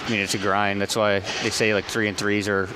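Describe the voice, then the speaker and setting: A man's voice: on the low side, flat delivery, brisk, background noise. A man in his thirties, a hockey player, chatting casually with many fillers and restarts at a live outdoor broadcast. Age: 30s. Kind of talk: casual interview chat.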